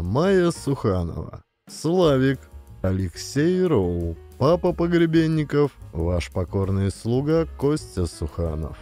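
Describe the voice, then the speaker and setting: A man's voice: fairly low pitch, dark tone. A man narrating a comic audio play in a dramatic scripted storytelling style. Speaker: narrator and actor of audio plays.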